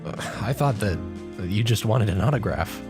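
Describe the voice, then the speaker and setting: A man voicing a shy, nervous male pony novelist in a fan audio drama, speaking hesitantly and haltingly. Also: on the low side, lively intonation, close to an everyday voice. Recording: music behind the voice.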